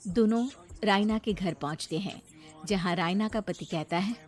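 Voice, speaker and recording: A woman's voice: medium pitch, moderate pace, darkish tone, soft background music. A woman reading scripted narration as the storyteller.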